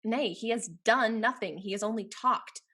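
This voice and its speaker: A woman's voice: on the high side, normal speed, bright, forceful tone. A woman playing a spirited, fashionable young heiress in a Restoration comedy, haughty, witty and affected. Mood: witty exasperation. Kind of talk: theatrical Restoration comedy acting.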